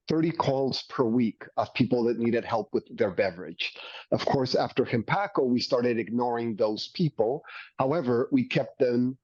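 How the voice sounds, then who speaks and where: A man's voice: medium pitch, darkish tone, no room sound. A man from Mexico with a Mexican Spanish accent, speaking as an enthusiastic beverage executive in a business interview, often repeating words as he builds his points. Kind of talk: business interview, pitching plans. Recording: dull, dry sound.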